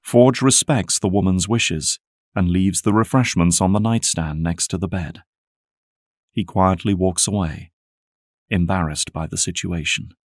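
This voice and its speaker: A man's voice: low-pitched, no room sound. A man giving scripted, dramatic narration as the narrator of an audio drama.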